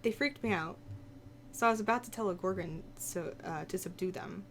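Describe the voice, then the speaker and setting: A woman voicing a young, sharp-tongued woman telling of her past in a visual novel, informal and snappy. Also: lowish voice, moderate pace, lightly acted. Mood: reflective. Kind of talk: visual novel voice-over read aloud.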